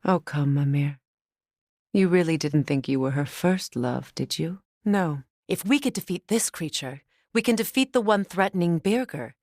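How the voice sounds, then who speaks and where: An actress playing a proud, battle-hardened middle-aged warrior goddess in a video game, with a measured, commanding, dry manner. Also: female voice, fairly low pitch, lively intonation, a little mellow, no echo. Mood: composed, dry. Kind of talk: video game character dialogue and battle callouts.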